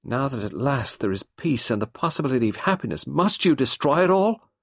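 A man voicing a passionate pleading woman, raised pitch. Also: sing-song, fairly quick, vocal fry, dry recording, studio quality. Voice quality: passionate, imploring. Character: proud, pleading young woman, intense and secretive.

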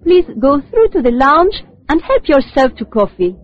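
High, lively woman greeting guests. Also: normal speed, dry recording. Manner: bright, welcoming and polite. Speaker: conference receptionist in a scripted dialogue. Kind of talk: scripted language-course dialogue. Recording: dull lo-fi dry tape recording.

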